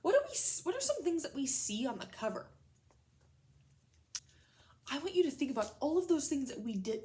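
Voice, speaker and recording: Female elementary teacher, slightly high voice, guiding a children's read-aloud with clear, simple prompts. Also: darkish tone, a little hiss or hum. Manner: instructional, addresses children with simple questions and prompts.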